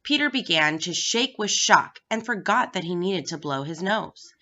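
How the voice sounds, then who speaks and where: A woman's voice: on the low side, animated, moderate pace, sharp tone. A woman reading a children's story aloud as scripted narration. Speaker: staff reader at a school. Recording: dull, lo-fi recording.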